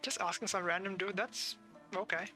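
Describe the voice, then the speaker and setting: A man's voice: high-pitched, steady tone, normal speed, sharp tone, faint music behind. A man giving casual live commentary as he plays a game, musing aloud in a hesitant way. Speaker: game streamer.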